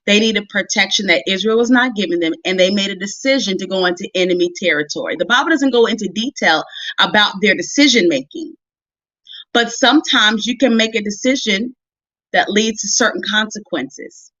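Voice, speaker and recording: A woman's voice: mid-range voice, fairly quick, fairly bright tone. An American woman preaching in a conversational storytelling style, folksy and emphatic, repeating phrases for emphasis. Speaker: minister and show host preaching. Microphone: livestream audio. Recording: roomy, slightly dull livestream audio.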